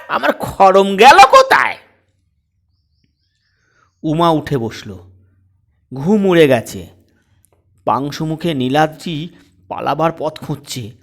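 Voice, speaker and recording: Male storyteller for comic fiction audio: dramatic, unhurried reading with lively melodic swings. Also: on the high side.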